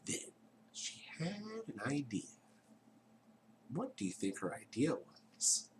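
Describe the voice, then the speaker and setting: A man reading a children's story aloud in a theatrical way, dropping into hushed tones and chatting to his young listeners. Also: medium pitch, big pitch swings, slow pace, a little hiss or hum, low-quality recording.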